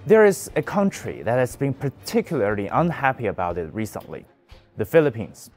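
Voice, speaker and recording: A man's voice: medium pitch, big pitch swings, normal speed, darkish tone, faint music behind. A young man presenting a scripted news commentary with an emphatic, pointed delivery.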